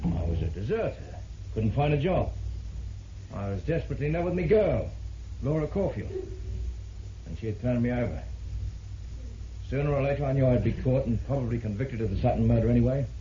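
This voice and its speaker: An actor playing a despondent, deferential deserter on the witness stand in an old-time radio drama. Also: male voice, medium pitch, expressive, fairly quick, long pauses, mellow, close to an everyday voice. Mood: despondent, confessing. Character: despondent male deserter on trial for murder, meek witness. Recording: dull, noisy, echoey vintage radio recording.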